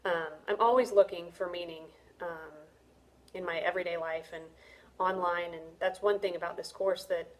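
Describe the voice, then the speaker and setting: A middle-aged woman with a slightly low voice reflects aloud for a class. She sounds hesitant and a little self-conscious, pausing on ums and restarting her sentences. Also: fairly even intonation, rough recording. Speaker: student reflecting on a course. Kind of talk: casual spoken reflection.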